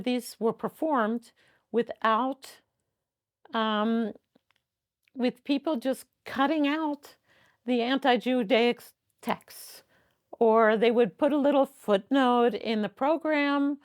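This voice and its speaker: A woman who directs an early music ensemble, speaking in a documentary interview and pausing to find her words. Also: on the high side. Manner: thoughtful, searching for words.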